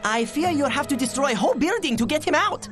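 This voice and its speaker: Game casting: jilted, scheming doctor, fairly high voice, very animated, melodramatic and stilted. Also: female voice, fast, soft background music. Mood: sly. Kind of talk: video game cutscene acting.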